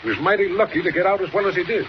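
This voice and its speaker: An actor playing a stubborn, commanding governor in a 1940s radio drama, brusque and blustering. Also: male voice, high voice, rapid-fire, natural voice. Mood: indignant. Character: stubborn, blustering state governor, a grown man. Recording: dull, noisy old radio recording.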